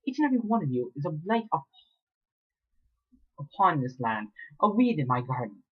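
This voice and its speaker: Villainous pony mare mayor, cold and gloating, sweet on top and cruel underneath; a man puts her on, pitched well above his own husky voice, dull lo-fi sound. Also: very animated, moderate pace. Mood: gloating menace. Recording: dull lo-fi recording.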